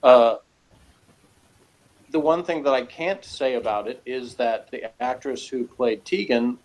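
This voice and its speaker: A man's voice: medium pitch, a little slow, a little mellow, a little hiss or hum, rough recording. A man chatting casually as a reviewer, giving his opinions on an episode in a rambling way.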